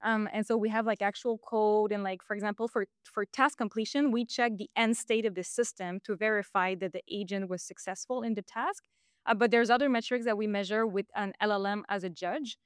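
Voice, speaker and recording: A woman with a Quebec French accent explains her work in English, her first language being French. Her voice is smooth and clear, recorded dry and close. She talks in a casual interview way, pausing on fillers and restarting phrases. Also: medium pitch, fairly even intonation, no room sound. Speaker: guest.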